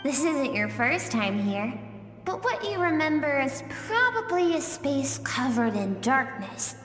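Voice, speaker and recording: A woman voicing a calm, mysterious young female spirit who dwells in another's inner world, speaking gently. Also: high-pitched, measured pace, heavily acted. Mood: gentle. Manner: soft, gentle, reflective. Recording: echoey dreamlike reverb under music.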